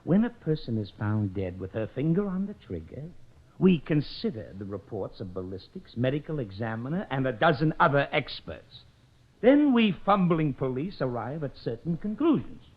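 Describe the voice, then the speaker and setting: An actor with an American accent playing a put-upon, sarcastic police lieutenant in an old-time radio drama. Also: male voice, mid-range voice, very animated, normal speed. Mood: exasperated. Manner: sarcastic, exasperated. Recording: muffled vintage radio recording.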